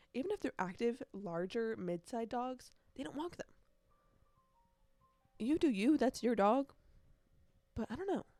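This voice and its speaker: A woman's voice: a little high, a little mellow, no echo. Chatty young woman, close dry sound. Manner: chatty, rambling, self-correcting; informal youthful slang, emphatic repetition. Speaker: podcast host. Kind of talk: casual solo podcast chat.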